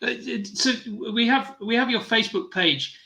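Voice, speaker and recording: A man's voice: very high-pitched, moderate pace, vocal fry, pushed and bright. A man hosting a podcast interview, chatty and encouraging toward his guest. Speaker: podcast host, business mentor.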